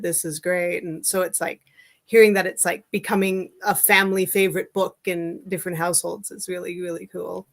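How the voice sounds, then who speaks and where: Lower-pitched woman chatting as interview guest. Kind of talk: casual interview chat.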